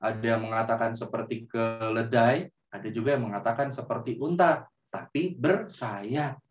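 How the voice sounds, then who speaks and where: A man telling a story to children in a gentle, drawn-out way, often turning to them as friends. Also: medium pitch. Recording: roomy, distant, dull sound.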